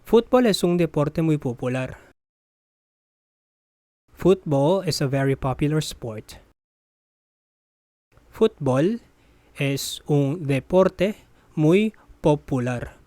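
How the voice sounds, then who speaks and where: A Spanish teacher's voice reading a bilingual phrase drill, saying each phrase, translating it, then repeating it deliberately. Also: medium pitch, expressive, mellow, no echo.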